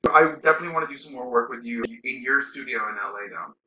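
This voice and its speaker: A man's voice: medium pitch, fast, bright, forceful tone, lo-fi sound. A man in his thirties hosting a casual, chatty talk show. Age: 30s. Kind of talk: casual chat and talk to viewers.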